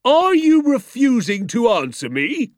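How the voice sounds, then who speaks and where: A man voicing a bullying, contemptuous military schoolmaster with a menacing, drawn-out, emphatic delivery in an audiobook story. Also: very high-pitched, wildly swooping pitch, no echo, clean studio sound, pitched above the performer's own voice, exaggerated voice. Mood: furious. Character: furious bullying schoolmaster, old military captain, barking contemptuously.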